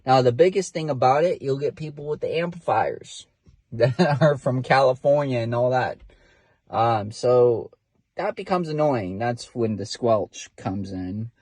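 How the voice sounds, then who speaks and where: A man of middle pitch vlogs from the driver's seat of his car, chatting loosely and circling back with fillers and restarts. The sound is dry and close, with no room echo. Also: lively intonation, a little slow, darkish tone. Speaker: vlogger, CB radio hobbyist. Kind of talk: casual first-time vlog.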